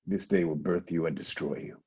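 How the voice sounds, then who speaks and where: An actor playing a stern, foreboding blind old prophet in a dramatic reading over a video call, with a low, husky, deliberate delivery. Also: male voice, steady tone, darkish tone, full character voice. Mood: cutting retort. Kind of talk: Zoom dramatic reading of a Greek tragedy. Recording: dull lo-fi video call audio.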